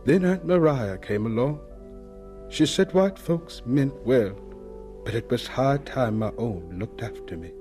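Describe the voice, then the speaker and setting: A man playing a gentle, humble older professor reminiscing in a radio drama, husky, reflective and plainspoken. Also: mid-range voice, animated, a little slow, faint music behind, lightly acted. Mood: wistful.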